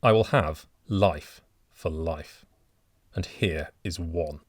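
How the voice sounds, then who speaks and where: A man voicing a grim, vengeful gentleman who speaks slowly and coldly. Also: fairly low pitch, lively intonation, dry recording, clean studio sound, natural voice. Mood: cold vengeance. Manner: slow, cold, deliberate. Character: cold vengeful middle-aged man, grim and unmoved.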